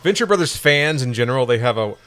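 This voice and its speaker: Bright-voiced man telling a wry story. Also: mid-range voice, expressive, quick pace, faint music behind. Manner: storytelling, wry, laughs easily. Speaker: podcast co-host. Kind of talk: casual chat.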